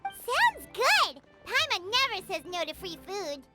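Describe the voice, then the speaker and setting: A woman voicing a tiny, cheeky, food-loving fairy companion in an animated video game, excitable and talking about herself in the third person. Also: very high-pitched, measured pace, over loud music, full character voice. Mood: excited. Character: tiny cheerful female fairy companion who loves food.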